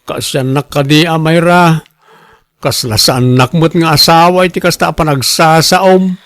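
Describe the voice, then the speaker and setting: A man's voice: fairly high pitch, fairly even intonation, unhurried, a bit bright. A man with a northern Philippine accent playing a hesitant, debt-ridden husband in a radio drama. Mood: pleading, hurt. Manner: hesitant, pleading.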